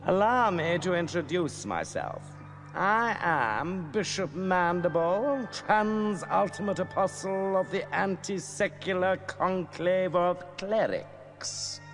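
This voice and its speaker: An actor playing a grandiose, scheming bishop villain in a video game, with pompous, theatrical delivery and ornate speech. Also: male voice, high voice, slow, fairly bright tone, full character voice. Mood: smug, mocking. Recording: game dialogue over clear background music.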